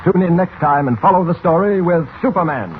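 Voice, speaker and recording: A man's voice: a little high, fairly quick. A man narrating an old radio serial in a dramatic, scripted style. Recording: tinny, hissy old radio recording.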